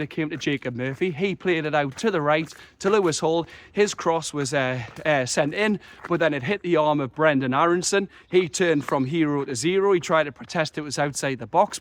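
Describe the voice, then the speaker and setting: A young man, croaky after a chest infection, talking passionately and rambling through a football match reaction. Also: fairly high pitch, moderate pace. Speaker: football fan vlogger. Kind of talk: excited solo match reaction.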